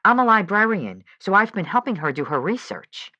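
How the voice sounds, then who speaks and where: A woman's voice: mid-range voice, very animated, moderate pace, pushed and bright, no room sound, highs cut off. A woman playing an earnest, lovelorn and anxious caller in a radio drama, speaking hesitantly and with open feeling. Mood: earnest, fond. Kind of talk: scripted audio drama.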